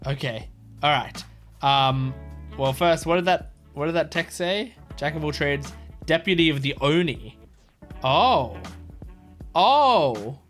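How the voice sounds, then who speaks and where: A man's voice: fairly high pitch, animated, slow, pauses often, fairly bright tone, faint music behind. A man hosting a reaction video, giving casual, gushing and enthusiastic commentary.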